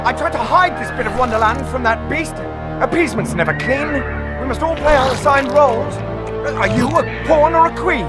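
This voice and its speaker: A woman's voice: sits high, animated, fairly quick. A woman playing a sly, regal mistress of a macabre show in a game, taunting in cryptic, declamatory phrases. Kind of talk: theatrical game cutscene acting. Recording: loud music behind the voice.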